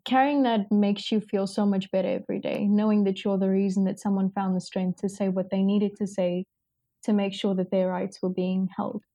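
Woman guest, child advocate; mellow, hesitant, dry close recording. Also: mid-range voice, little pitch movement, normal speed, no room sound. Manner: hesitant, searching for words. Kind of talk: casual interview.

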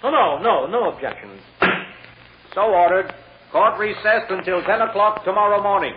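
Casting: a flustered courtroom judge, high and animated, tinny radio-play sound. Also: male voice, a little slow, echoey room. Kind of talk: 1940s-50s radio drama acting. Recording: tinny, hissy old radio recording.

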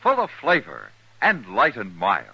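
A man's voice: fairly low pitch, huge pitch swings, unhurried, sharp tone, slightly rough, no room sound, poor audio. A man with an American accent reading a 1950s radio commercial as its announcer. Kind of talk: 1950s radio advertising pitch.

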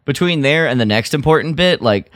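A man co-hosting a podcast, chatting casually through a story recap in a halting, loosely phrased way that often trails off. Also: a little high, animated, a bit bright, no echo, studio quality. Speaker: podcast co-host.

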